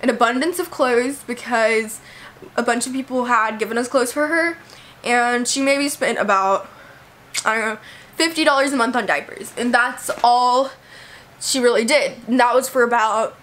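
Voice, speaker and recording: Young woman, high voice, hoarse with a cold, casual chatty vlog. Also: moderate pace, fairly bright tone. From Texas, USA. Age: young adult. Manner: chatty, lots of "like" and "you know". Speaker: vlogger. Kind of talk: casual talk to camera.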